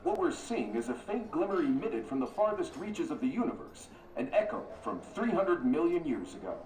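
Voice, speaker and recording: A man's voice: fairly high pitch, very animated, normal speed, darkish tone, a little hiss or hum. An actor playing an earnest male scientist giving an explanation on a broadcast in a sci-fi game. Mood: assured. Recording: echoey, distant, music behind.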